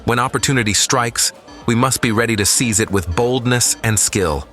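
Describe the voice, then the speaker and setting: A man playing a confident, steady captain leading a crew in a sci-fi audio drama, measured and theatrical. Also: mid-range voice, faint music behind, close to an everyday voice. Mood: assured, sly.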